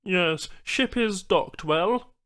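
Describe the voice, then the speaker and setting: A man voicing a formal, eager male alien trader who speaks in halting, oddly ordered phrases for an audiobook. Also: high-pitched, expressive, fairly bright tone, smooth, pitched above the performer's own voice, heavily acted. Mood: businesslike, halting. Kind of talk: audiobook character voice.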